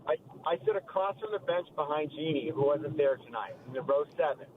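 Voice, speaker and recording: A man calling in to a radio sports show, a loud and boastful fan. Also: on the high side, lively intonation, fairly quick. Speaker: caller. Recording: tinny phone line with faint noise.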